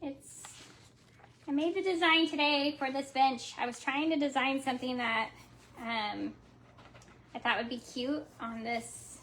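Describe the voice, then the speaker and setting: A woman's voice: high-pitched, fairly even intonation, a little slow, a bit bright, a little hiss or hum. A young woman chatting casually to viewers while she refinishes furniture at home, talking as she works and often trailing off mid-thought. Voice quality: slight lisp. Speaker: craft vlogger. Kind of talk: casual live craft chat.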